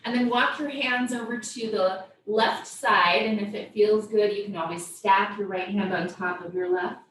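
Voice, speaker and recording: A woman teaching yoga, guiding a body scan with gentle, invitational step-by-step cues. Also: mid-range voice, fairly even intonation, moderate pace. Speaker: yoga instructor. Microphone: distant microphone. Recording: very echoey room, distant mic, faint noise.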